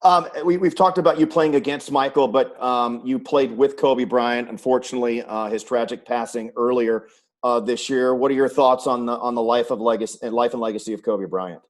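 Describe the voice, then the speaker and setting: A man's voice: mid-range voice, steady tone, moderate pace. A man hosting a sports chat, asking questions in a conversational interviewing style. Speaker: web series host and sports announcer.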